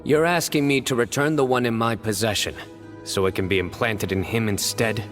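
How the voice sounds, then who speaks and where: A man's voice: on the low side, normal speed, music in the background, natural voice. An actor playing a reserved young male judge in a video game, speaking in a terse, controlled way. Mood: guarded. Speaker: General Mahamatra.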